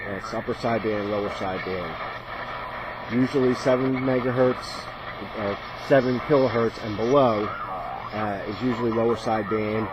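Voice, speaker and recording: A man casually demonstrating a radio as a hobbyist, hesitant and thinking aloud as he goes. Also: on the low side. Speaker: radio hobbyist presenting a video. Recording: noisy, radio static in background. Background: shortwave radio static and chatter.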